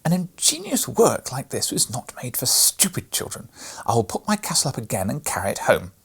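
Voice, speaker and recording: A man voicing a stern elderly male clockmaker godfather in a storybook reading, with slow, firm refusals. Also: mid-range voice, wildly swooping pitch, gravelly, a bit bright, slightly rough, exaggerated voice. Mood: irritated.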